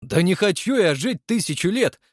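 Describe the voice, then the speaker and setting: A man's voice: a little high, big pitch swings, fairly quick, no echo, clean studio sound, pitched above the performer's own voice. Man playing a blunt, protesting detective, raised voice. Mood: stubborn indignation. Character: reluctant, stubborn, indignant male detective in his prime.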